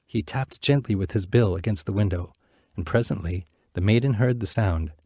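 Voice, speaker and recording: Fields: low-voiced male fairy tale narrator. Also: dark tone, no echo, clean studio sound. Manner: measured, clear reading. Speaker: storyteller.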